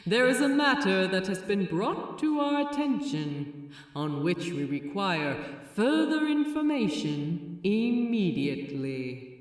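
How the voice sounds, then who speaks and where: Woman acting as celestial seraph, imperious, cool, dryly mocking, formal, measured pace, swooping emphasis, storybook fantasy. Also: medium pitch, big pitch swings, very echoey, long reverb tail, distant mic, poor audio. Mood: stern, formal. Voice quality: soft, musical lilt. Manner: dry, commanding, formal.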